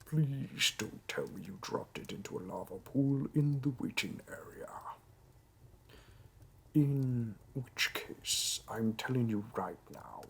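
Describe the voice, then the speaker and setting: A man's voice: medium pitch, vocal fry, slight background noise. A man voicing a wry, courteous demon bureaucrat conducting interviews in hell, speaking formally and dryly in a scripted roleplay audio. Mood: stern. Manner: dry, formal, politely officious. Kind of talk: scripted roleplay audio monologue addressed to the listener.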